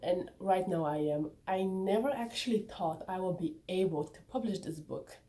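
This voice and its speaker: Young woman vlogger, Bulgarian native speaker, mellow warm voice, chatty and eager. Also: fairly low pitch, moderate pace. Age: young adult. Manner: enthusiastic, chatty, sometimes personal and reflective. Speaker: author presenting her book. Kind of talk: casual vlog talk to viewers.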